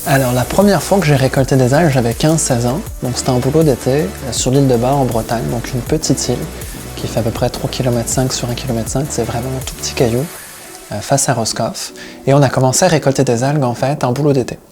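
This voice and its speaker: A man from the Paris region, telling about his own work and life in a relaxed interview account. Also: mid-range voice, quick pace, over music. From Paris region, France, with Breton roots. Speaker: seaweed harvesting diver and business owner, interviewee.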